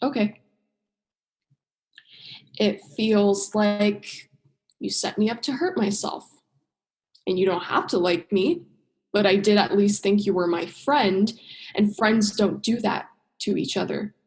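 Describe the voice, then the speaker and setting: A woman's voice: mid-range voice, takes it slowly, pauses often, darkish tone. A young woman playing an earnest, exasperated human heroine in a radio play, with a direct, emotional delivery. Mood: hurt, pressing. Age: young adult. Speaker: drama student actor.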